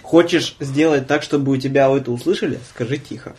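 Joking young man over clearly noisy background. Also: mid-range voice, a little fast. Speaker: podcast host. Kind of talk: casual banter and anecdotes. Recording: clear background noise, clicks.

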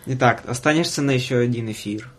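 A man's voice: medium pitch, quick pace, a little hiss or hum. A young man hosting a casual podcast, telling stories in an informal, slangy way. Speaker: podcast host.

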